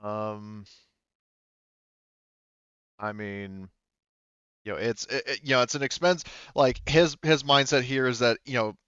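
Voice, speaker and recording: A man's voice: mid-range voice, unhurried, long pauses, fairly bright tone, clear, resonant tone, no echo, highs cut off. A man talking casually as game master of a tabletop roleplaying game, thinking aloud as he explains rulings.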